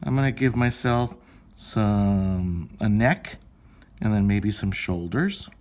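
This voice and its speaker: A man's voice: fairly low pitch, expressive, takes it slowly, a little mellow. A man speaking as an elementary school teacher, chatting informally through step-by-step instructions for young children and thinking aloud.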